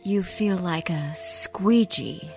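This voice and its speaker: A woman playing a clinical, self-absorbed psychiatrist in a comic radio play, deadpan and precise. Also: lowish voice, slow pace, creaky, faint music behind.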